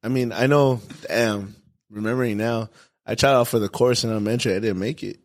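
A young man hosting a casual podcast, slangy and relaxed, giving shout-outs and asking questions. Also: mid-range voice, fairly quick. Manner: laid-back, slangy, gives shout-outs. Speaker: podcast host.

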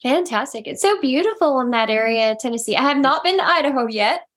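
Bubbly high-voiced woman podcast host. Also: lively intonation, a little fast. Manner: upbeat, gushing interviewer. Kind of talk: podcast interview.

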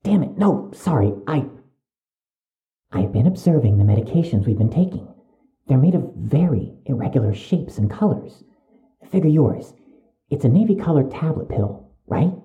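An actor playing a suspicious young medical student in an audio drama, speaking with precise, urgent, stiffly formal wording. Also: male voice, medium pitch, very animated, normal speed, phone-quality sound. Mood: urgent, insistent.

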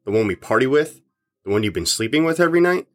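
An actor playing a suspicious, confrontational rock band member in an audio drama, speaking in an insistent, accusing way. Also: male voice, mid-range voice, expressive, fast, close to an everyday voice.

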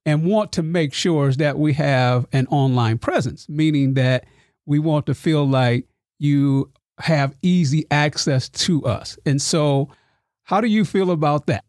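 A man's voice: fairly high pitch, unhurried, a little mellow, dry recording, studio quality. A man hosting a podcast interview with his students, enthusiastic and formal in his introductions. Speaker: podcast host and instructor.

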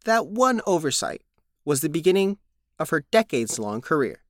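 A man's voice: fairly high pitch, big pitch swings, moderate pace, no room sound, studio quality. A man narrating a scripted news feature as a reporter.

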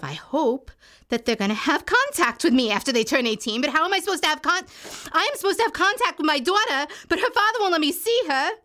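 An actress playing an incarcerated mother who talks in a halting, weary way with wry jokes. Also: high-pitched, a bit bright, full character voice. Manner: halting, weary, wryly joking. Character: incarcerated mother fighting to see her kids. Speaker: inmate. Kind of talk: audio drama acting.

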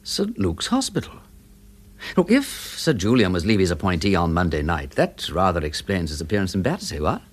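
An actor playing a witty, excitable aristocratic detective in a radio drama, with an upper-class English accent and a playful, theatrical manner. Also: male voice, sits low, a little fast, exaggerated voice. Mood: musing, probing. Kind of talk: full-cast radio drama acting.

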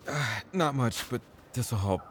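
A man's voice: mid-range voice, expressive, a little slow, slight background noise, natural voice. A man playing an injured, frightened, sardonic hiker in an audio drama, cursing and groaning. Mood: pained, weary. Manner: sweary, groaning, sighing. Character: injured frightened sardonic hiker, friend being helped along.

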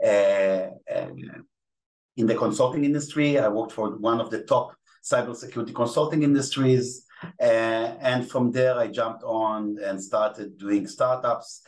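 A man's voice: mid-range voice, darkish tone, rough recording. A middle-aged man with an Israeli accent, a native Hebrew speaker, answering as a podcast guest with many fillers and restarts. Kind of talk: podcast interview.

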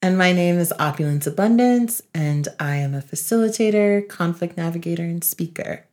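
A woman with a notably low voice chats as a podcast co-host. Her delivery is casual and conversational, with an earnest, introspective way of talking. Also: lively intonation, moderate pace, darkish tone. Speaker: podcast co-host, facilitator and speaker. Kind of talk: casual reflective podcast chat.

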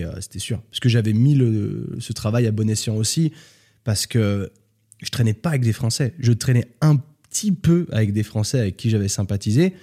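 A man from France, a podcast guest, telling stories in a relaxed, casual chat. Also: on the low side. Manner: relaxed storytelling, animated when joking.

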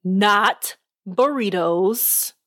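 A woman voicing a stern, vexed old male judge with a gravelly voice, slow and emphatic. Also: mid-range voice, a bit bright, dry recording, clean studio sound.

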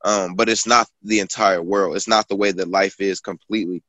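A man's voice: low-pitched, steady tone, fairly quick, sharp tone, no room sound. Rambling young man telling a story. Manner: rambling storytelling with many fillers. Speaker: guest author and poet. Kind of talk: casual conversation.

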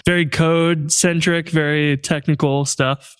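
Laid-back man hosting with a smooth voice. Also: on the high side, measured pace, no room sound, clean studio sound. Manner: relaxed, laughs often, rambling with many "like"s. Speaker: podcast host. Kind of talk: casual podcast chat.

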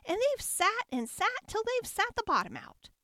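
A tiny storybook baby bear, squeaking in a very high, shrill voice. The delivery is childlike and emphatic, swinging widely in pitch. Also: female voice, sing-song, moderate pace, pushed and bright, no room sound, full character voice. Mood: plaintive.

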